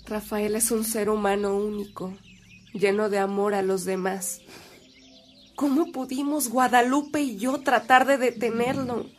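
A woman's voice: a little high, steady tone, measured pace, slight background noise, close to an everyday voice. An actress with a Mexican accent playing a devout, anxious woman in a radio drama, speaking in an earnest, emotive way. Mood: remorseful, admiring. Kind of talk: Spanish-language radio drama acting.

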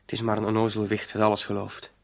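An actor playing an emotional, gullible lover who is cornered in a police interrogation in a radio play. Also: male voice, fairly low pitch, monotone, fast talker, vocal fry. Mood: resigned. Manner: defensive, emotional.

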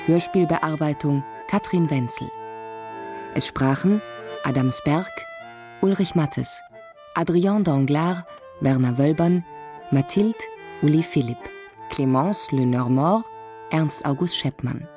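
A woman's voice: low-pitched, slow, pauses often. A woman reading scripted radio drama credits in a formal manner. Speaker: credits announcer. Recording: clear music behind the voice. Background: instrumental music.

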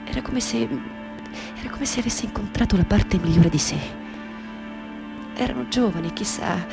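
An older mother played by an actress reminisces in a radio play over clearly audible music. She speaks wistfully and haltingly, doubting her own memory and correcting herself as she goes. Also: mid-range voice, close to an everyday voice. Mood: wistful, tender. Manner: hesitant, self-correcting, wistful, questioning herself. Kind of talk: radio drama monologue. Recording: music under the voice.

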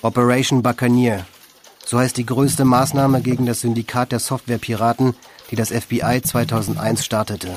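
A man's voice: mid-range voice, little pitch movement, a little fast. A man reading scripted narration for a radio documentary feature.

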